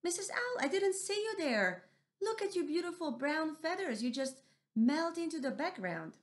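A woman's voice: high-pitched, big pitch swings, normal speed, voice pushed up. A woman voicing a small, clever male mouse deer who talks earnestly. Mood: polite.

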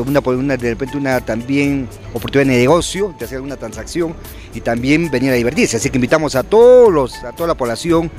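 Man speaking at an outdoor fair. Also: medium pitch. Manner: fluent, promotional, with many fillers and tag questions. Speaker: bank executive interviewed. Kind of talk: outdoor press interview. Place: outdoor fairground, busy event. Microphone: handheld reporter microphone.

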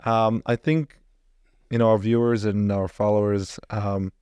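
Male podcast host, fairly deep and monotone, measured questions with halting phrasing, dry studio-like sound. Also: clean tone, no echo. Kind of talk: podcast introduction and interview questions.

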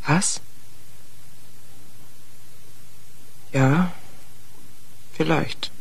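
An actress playing a timid, weary wife in a German radio crime drama, pleading in a halting, subdued way. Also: female voice, deep voice, vocal fry, slightly rough, exaggerated voice. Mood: weary, resigned.

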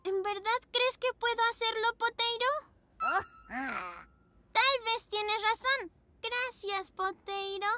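A woman voicing a cheerful, eager little girl pug puppy in a preschool cartoon, childlike and excitable. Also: extremely high pitch, slow, exaggerated voice. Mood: unsure, hopeful. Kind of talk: preschool cartoon dub acting. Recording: loud music behind the voice.

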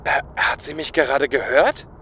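A man's voice: medium pitch, normal speed, bright, forceful tone, dull audio, slight background noise, close to an everyday voice. A man playing an anxious, protective brother in a fan audio drama. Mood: indignant.

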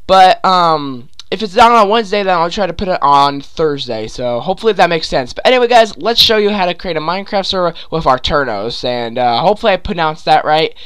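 A man's voice: fairly high pitch, expressive, brisk, hardly any pauses, fairly bright tone, clear, resonant tone. A young man with a sore throat and stuffy nose, rambling with lots of fillers while walking through a screen-recorded game tutorial. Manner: rambling, many fillers and restarts. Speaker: gaming YouTuber.

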